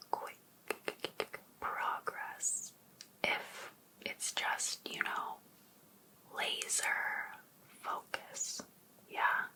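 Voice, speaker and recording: A woman playing an obsessive, sweetly pushy life coach in an ASMR roleplay, whispering breathily in a coaxing, deliberate way. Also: low-quality recording. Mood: possessive, insistent.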